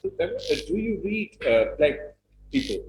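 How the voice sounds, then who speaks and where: A man's voice: high voice, huge pitch swings, moderate pace, vocal fry, darkish tone. A man with a Pakistani accent asking questions as a talk show host in an echoing indoor studio. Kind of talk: interview questioning. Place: indoor studio set at a desk. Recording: roomy, echoing studio sound.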